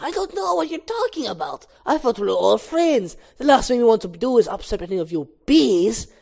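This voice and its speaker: A sneaky, blustering cartoon man, voiced by a woman. The voice sits higher than natural, with an evasive, overplayed delivery, like a small-time crook caught out. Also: lively intonation, brisk, vocal fry, dark tone. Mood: defensive. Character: blustering, sneaky man cornered by a talking bee. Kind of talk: animated film script read-through.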